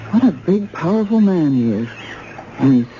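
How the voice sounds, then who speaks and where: A woman's voice: fairly low pitch, very animated, normal speed. An actress playing a wary, sensible mother and anxious wife in a 1970s radio drama. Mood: suspicious. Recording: muffled, noisy old radio broadcast.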